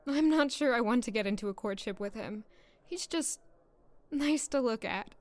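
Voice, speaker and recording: A woman's voice: a little high, lively intonation, normal speed, no echo, close to an everyday voice. An actress playing a bashful, wry young working woman in an audio drama. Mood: bashful, playful. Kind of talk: audio drama acting and narration.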